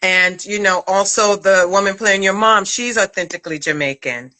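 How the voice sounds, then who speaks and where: A woman's voice: medium pitch, moderate pace, pushed and bright. A middle-aged woman hosting a casual live chat in an effusive, affectionate manner. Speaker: podcast writer-director hosting a live chat.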